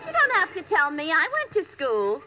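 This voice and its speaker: High, brassy radio-comedy bride-to-be. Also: female voice, wildly swooping pitch, normal speed, a loud crowd, heavily acted. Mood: gleeful, boastful. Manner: wisecracking, gushing, calls everyone dearie. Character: brassy, catty bride-to-be with crude wisecracks. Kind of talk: 1940s-50s radio comedy acting. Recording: noisy old-time radio recording.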